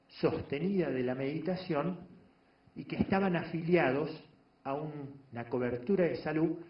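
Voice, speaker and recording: A man giving a measured lecture on meditation to an audience, pausing often and restarting phrases. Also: fairly high pitch. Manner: measured, explanatory, frequent pauses and restarts. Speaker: doctor giving a talk on meditation. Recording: echoey room, dull lo-fi sound.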